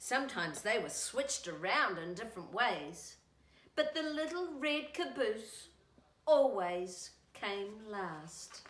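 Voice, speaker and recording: A woman hosting storytime, speaking in an animated, singsong way to young children as she reads a picture book. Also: medium pitch, takes it slowly, roomy sound, slight background noise. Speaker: storytime host and children's author.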